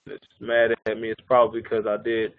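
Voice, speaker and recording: A man's voice: fairly low pitch, monotone, fast talker, gravelly, dry recording. A man in his thirties presenting life insurance figures on a live conference call, explaining step by step and often checking in with his listeners. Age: 30s. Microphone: phone or call line. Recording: narrow phone-line audio.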